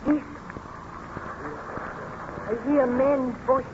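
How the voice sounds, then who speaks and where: Distraught lady of the house, high voice, earnest theatrical delivery, muffled old radio drama recording. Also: flat delivery, very slow, noisy background. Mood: distraught, insistent. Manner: formal, dramatic, earnest diction. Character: anxious, distraught baroness, worried wife and mistress of household. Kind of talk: 1930s radio drama acting.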